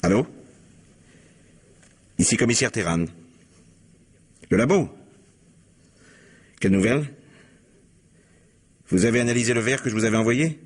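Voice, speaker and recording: A man playing a shrewd police commissioner in a French radio mystery in 1950s style, questioning briskly and insistently with pointed courtesy. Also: mid-range voice, husky. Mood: businesslike. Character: shrewd, probing middle-aged male police commissioner. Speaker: actor playing a detective.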